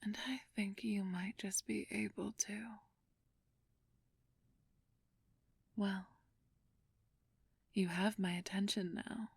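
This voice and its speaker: A woman playing a flirtatious, haughty ancient vampire thief in a whispered ASMR roleplay, teasing and condescending with a drawn-out purr. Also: mid-range voice, steady tone, dry recording. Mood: teasing, seductive. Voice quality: breathy whisper.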